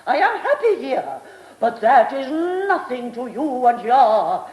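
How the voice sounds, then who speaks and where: A stubborn upper-class English lady of the manor in a radio play. Her voice is high and very animated, full of haughty indignation. It rings in an echoing room. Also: measured pace, highs cut off. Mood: indignant. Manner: haughty, cutting, emphatic. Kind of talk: British radio drama acting. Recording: roomy, echoing radio drama studio.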